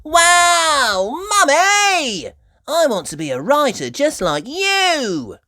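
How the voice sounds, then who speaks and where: High-pitched eager little piglet girl. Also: wildly swooping pitch, takes it slowly, sharp tone, dry recording, clean studio sound, heavily acted. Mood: eager, questioning. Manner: exclaiming, earnest, childlike. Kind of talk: children's storybook character voice.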